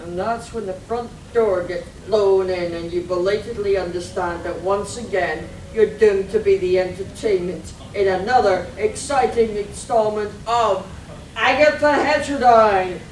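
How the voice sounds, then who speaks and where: A woman's voice: mid-range voice, measured pace, lightly acted. A woman performing an arch, mock-dramatic narrator of a theatrical radio serial. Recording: echoey, noisy hall recorded from far off.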